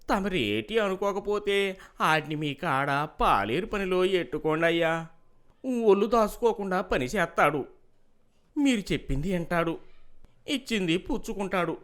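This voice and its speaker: A man's voice: high voice, animated, a little slow, a bit bright. A man playing a humble village farmer in a moral story, speaking deferentially in rustic dialect. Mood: humble pleading. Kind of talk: moral story character dialogue.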